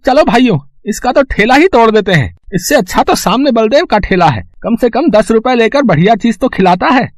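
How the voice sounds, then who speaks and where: A man voicing an excitable, emphatic village street-food customer in a cartoon moral story. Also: very high-pitched, brisk, hardly any pauses, no echo. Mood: outraged. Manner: exclamatory, emphatic.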